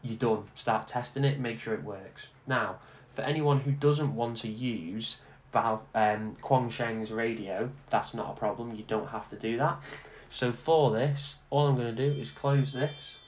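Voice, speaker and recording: A man giving a casual, informal software tutorial, instructing step by step and repeating himself as he goes. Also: medium pitch, a little slow. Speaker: tutorial host. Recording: clear background noise.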